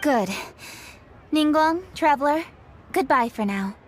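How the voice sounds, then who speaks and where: A woman's voice: high voice, animated, slow. A woman playing a dutiful, disciplined young official in a video game, speaking in a businesslike, formal way. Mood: polite, curt. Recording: faint ambient noise behind voice.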